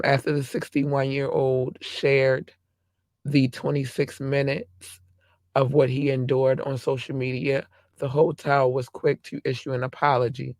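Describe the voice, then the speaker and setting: Hoarse male podcast host, gravelly and dry, passionate and rambling. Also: medium pitch, no echo. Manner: impassioned, rambling with restarts. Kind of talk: casual talk-show commentary.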